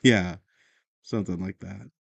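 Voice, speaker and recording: A woman's voice: a bass voice, steady tone, unhurried, gravelly, husky, no echo, exaggerated voice. A woman playing a guarded but caring, wry teenager in an audio drama, speaking in a casual, understated, teasing way. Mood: fond.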